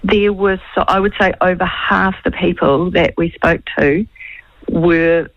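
A woman, calling in to a talkback show as a political candidate, her voice a little croaky, chatty, with many restarts. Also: fairly low pitch, steady tone, measured pace, no room sound. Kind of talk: talkback phone call. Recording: dull, dry phone line.

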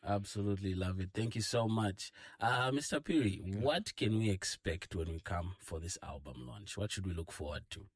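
Man, zambian accent, radio host, playful and upbeat, slightly low voice, dry studio. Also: moderate pace, creaky, hardly any pauses, no echo. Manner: upbeat, playful, affirming with frequent hums. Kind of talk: live radio interview.

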